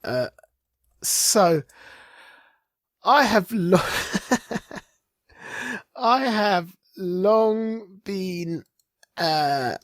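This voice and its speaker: An animated podcast co-host with a mid-pitched voice, recorded dry and close. The delivery is casual and opinionated, leaning hard on points in loose, chatty conversation. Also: dry recording. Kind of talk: casual chat about streaming and geek culture.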